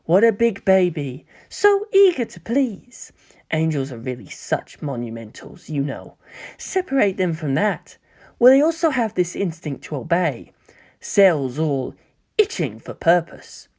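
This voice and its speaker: Story villain played by a man: young male angel hybrid, coy, smug, softly theatrical, very lively melody. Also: fairly high pitch, unhurried, heavily acted. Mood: mocking. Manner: coy, gentle, musing, theatrical.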